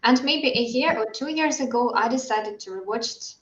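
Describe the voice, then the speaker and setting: A woman whose first language is Russian, chatting in English in a reflective way and pausing to search for words. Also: fairly high pitch, fairly bright tone. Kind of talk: casual group chat in English. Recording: roomy, slightly dull online call.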